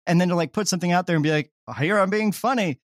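A man's voice: high voice, fast talker, no room sound, studio quality. A man chatting casually in an interview, with a wry, joking manner. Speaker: comedy podcast creator.

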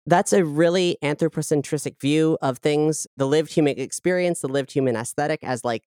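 A woman's voice: low voice, moderate pace, mellow, clean tone, no room sound, clean studio sound. A woman giving a thoughtful interview as a guest, explaining creative work in a reflective, articulate way.

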